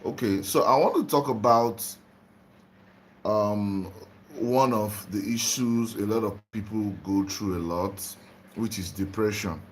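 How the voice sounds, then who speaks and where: Man from Nigeria, young adult, drowsy livestream host, unhurried and lowish, faint hiss behind. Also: rough recording. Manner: slow, repetitive, greets viewers by name. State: just woken up. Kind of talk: casual livestream talk on spirituality.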